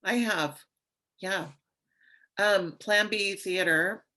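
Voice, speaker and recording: A woman chatting casually as an actress on a panel, in a halting, thoughtful way. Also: medium pitch, bright, forceful tone. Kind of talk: casual chat.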